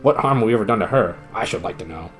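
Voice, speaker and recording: A man's voice: fairly low pitch, expressive, racing, a bit bright, soft background music. A man reading a storybook, voicing an indignant schoolboy who speaks bluntly.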